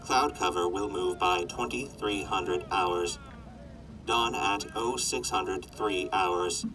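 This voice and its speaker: A man voicing a weather-forecasting robot that reads data in a flat, methodical way. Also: low-pitched, slow. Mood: reporting. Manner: flat, methodical report delivery. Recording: distant voice over loud music.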